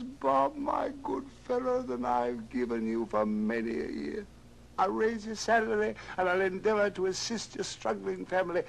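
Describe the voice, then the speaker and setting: An elderly reformed Victorian miser, British, creaky, stammering and effusive. Old radio drama style, slightly noisy vintage record. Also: male voice, high voice, full character voice. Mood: hearty. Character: giddy, overjoyed elderly Victorian English gentleman miser reformed.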